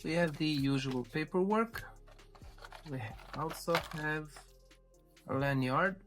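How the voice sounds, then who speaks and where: Man, slightly high voice, casual tech reviewer, step-by-step explanatory delivery, small hesitant pauses, music behind. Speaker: tech reviewer presenting his channel. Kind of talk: casual unboxing narration.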